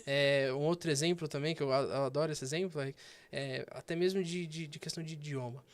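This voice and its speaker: A man, a guest banker sharing stories in a casual interview with animated delivery and frequent fillers. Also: a little high, brisk.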